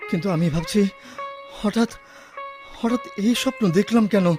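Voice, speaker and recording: An actor playing a worried husband and devoted son in a Bengali radio drama, hesitant and melodramatic, repeating himself. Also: male voice, high voice, moderate pace, darkish tone, soft background music, natural voice. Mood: shaken.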